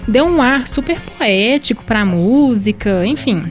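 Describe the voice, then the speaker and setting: A woman's voice: fairly high pitch, expressive, a little slow, faint music behind. Playful Brazilian woman on radio. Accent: Brazilian Portuguese. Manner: playful, chatty, jokey asides. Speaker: radio co-presenter. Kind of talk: casual radio chat.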